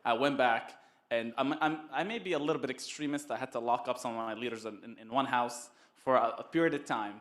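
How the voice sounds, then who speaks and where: A man giving a casual testimonial as a guest, halting and often repeating his words. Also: mid-range voice, a bit bright. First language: Arabic. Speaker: summit guest, network marketer.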